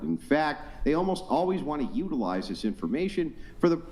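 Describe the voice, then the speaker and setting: Sarcastic, lively-pitched man in his forties. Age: 40s. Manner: sarcastic, needling, talks over others. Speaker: debate show host. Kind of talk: combative live debate.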